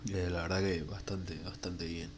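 A man's voice: low-pitched, level tone, moderate pace, a little hiss or hum. A young man with a Rioplatense Argentine accent, hosting a casual solo podcast while he has a cold, with a stuffed nose and breathing through his mouth. He rambles frankly and crudely, with plenty of fillers. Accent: Rioplatense Spanish. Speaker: podcast host.

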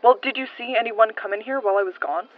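Brisk, questioning woman, slightly dull recording, acted. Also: on the high side, close to an everyday voice. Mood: startled, suspicious. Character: brisk, suspicious woman archivist, puzzled and questioning. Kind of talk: audio horror drama acting.

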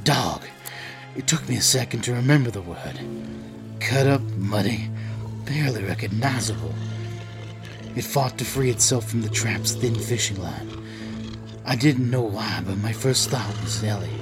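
An actor playing a desperate, protective father in a post-apocalyptic audio drama, narrating tensely and deliberately in the first person. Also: on the low side, animated, music in the background. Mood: wary, tense.

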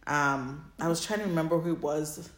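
A woman's voice: low voice, normal speed. A woman co-hosting a podcast in casual chat, playful and emphatic, with informal language. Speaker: podcast co-host. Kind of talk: casual chat about audio dramas. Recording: roomy, echoey sound.

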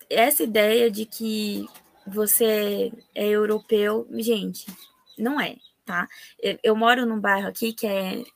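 A Brazilian woman hosts a relaxed podcast chat, emphatic and animated. Her voice sits fairly high with a gravelly edge, recorded close and dry. Soft music plays beneath. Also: dry recording. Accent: Brazilian Portuguese. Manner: animated, emphatic, often exclaims "gente". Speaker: podcast host. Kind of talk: casual podcast interview.

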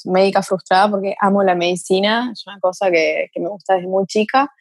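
A woman. She rambles through her answers with fillers in a middle-pitched voice. The sound is dry and close, with no room echo. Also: steady tone, brisk. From Brazil. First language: Portuguese. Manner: chatty, thinks aloud with fillers. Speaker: guest, mentor to mothers. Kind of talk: casual interview chat.

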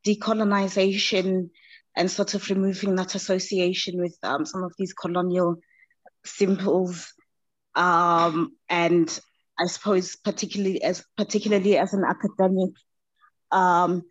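A woman's voice: medium pitch, dry recording. A woman asking a tentative, thoughtful question at an online panel. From Zimbabwe. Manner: tentative, thoughtful, many fillers. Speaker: audience member asking a question. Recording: dry, dull lo-fi line.

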